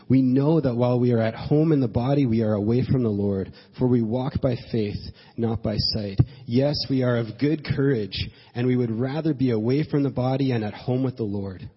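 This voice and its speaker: Sick male pastor, stuffy and nasal, husky edge, earnest halting delivery, dull recording with a long hall echo. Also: medium pitch. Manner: earnest, halting restarts, slow emphatic phrasing. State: stuffed up from a cold. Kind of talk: sermon. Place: church sanctuary.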